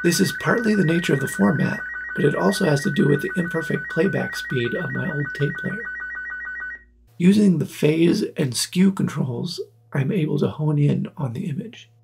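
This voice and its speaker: A man in his forties with a husky, slightly gravelly voice, narrating an explanatory tutorial. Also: a little high, expressive, moderate pace, background noise. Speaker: video maker presenting a tutorial.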